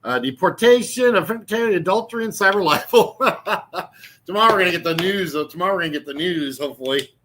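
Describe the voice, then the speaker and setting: Rambling sixty-year-old man, bright voice. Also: high voice, expressive, quick pace, slight background noise. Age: 60s. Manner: meandering with many fillers and restarts; mocking, laughs at own jokes. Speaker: YouTube channel host. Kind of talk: rambling casual vlog talk.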